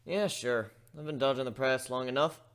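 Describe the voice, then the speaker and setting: A man voicing a cocky, sarcastic young male griffin in a story reading, teasing and deadpan. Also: mid-range voice, moderate pace, clean tone, natural voice. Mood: nonchalant.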